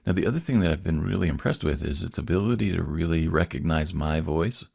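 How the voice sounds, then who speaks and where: A man's voice: sits low, expressive, quick pace, gravelly, a little mellow, husky, no room sound, clean studio sound. A man hosting a podcast, asking questions in a friendly, enthusiastic way. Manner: friendly, enthusiastic, laughs often. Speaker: podcast host. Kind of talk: casual interview.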